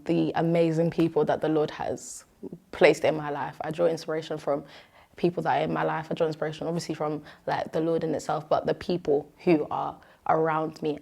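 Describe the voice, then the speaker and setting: A young woman with a British accent and a notably low voice. She talks in a casual, expressive way, addressing her listeners directly like a host opening up in an informal interview. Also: creaky. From Nigerian-born, moved to Britain at three. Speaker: show host and content creator. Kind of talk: casual chat answering interview questions.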